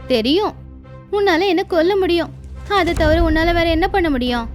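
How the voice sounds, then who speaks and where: A woman voicing a cheeky, cunning she-goat who taunts and tricks others in an animated fable. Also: very high-pitched, moderate pace. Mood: taunting. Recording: music behind the voice.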